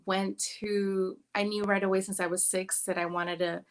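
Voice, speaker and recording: A woman in her forties from New York City telling her life story in a relaxed way, with many ums, over a video call. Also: medium pitch, moderate pace, clear, resonant tone. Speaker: summit speaker. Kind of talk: personal story.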